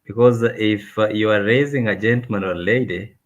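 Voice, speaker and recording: Low-voiced male guest, halting and deliberate delivery. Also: muffled recording. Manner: hesitant, many "uh" fillers, deliberate. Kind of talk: conversational interview.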